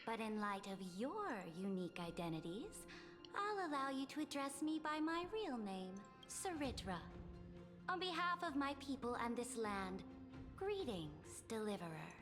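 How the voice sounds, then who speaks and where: Casting: imperious conqueror queen for a game, high voice in long reverb, music behind, formal and regal. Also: big pitch swings, measured pace. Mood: stately, grand. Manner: commanding, formal, grandiose. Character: imperious young empress, regal conqueror with cold courtesy. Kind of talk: video game voice acting.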